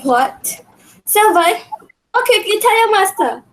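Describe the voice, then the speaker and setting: A woman's voice: high voice, a little slow, a little mellow, low-quality recording. A young woman playing an earnest, imperious queen of ancient Greece in an amateur radio drama. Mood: questioning. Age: young adult. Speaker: student actor.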